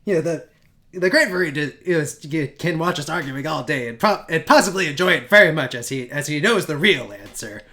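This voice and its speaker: A man's voice: fairly high pitch, expressive, normal speed, pushed and bright. A man voicing a self-assured, dismissive male mage in a tabletop game, with a wry, formal manner. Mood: wry, impatient.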